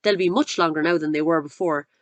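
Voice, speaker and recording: A woman voicing a huge, cruel headmistress who barks her words with loud scorn and contempt. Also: fast, dry recording, natural voice. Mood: gloating. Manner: barking, booming and contemptuous.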